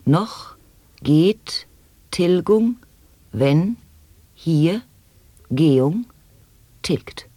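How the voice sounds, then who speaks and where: Slow, husky reader of wordplay. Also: medium pitch, expressive, dark tone, no room sound. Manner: halting, word-by-word recitation of wordplay. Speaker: radio play performer. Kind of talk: experimental sound poetry monologue. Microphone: studio microphone.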